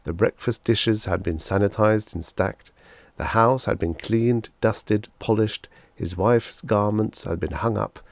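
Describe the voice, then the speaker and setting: Deep voiced guy reading a story, bit of fry in the voice, super dry close sound. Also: unhurried, no room sound. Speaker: audiobook narrator. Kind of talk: scripted story narration.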